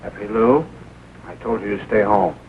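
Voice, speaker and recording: A man's voice: lowish voice, moderate pace, natural voice. An actor playing a shiftless father living on pension checks in a 1940s film. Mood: sheepish, scolding. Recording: tinny, noisy 1940 film soundtrack.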